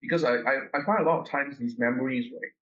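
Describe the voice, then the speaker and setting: A man explains his fieldwork in a loose, conversational way, often stumbling, restarting and repeating small words before he finds the thought. His voice sits at an ordinary pitch, and the recording is slightly dull and lo-fi. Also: fast talker, a bit bright. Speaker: researcher guest. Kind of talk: conversational interview.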